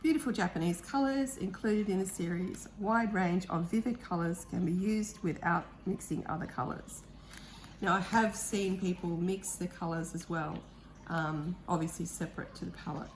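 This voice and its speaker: A woman with a croaky voice, chatting casually and eagerly about art supplies as she unboxes them, with the odd um. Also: on the low side, moderate pace, a little hiss or hum, rough recording. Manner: chatty, enthusiastic, thinking aloud with ums. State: croaky voice, clearing throat. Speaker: art vlogger.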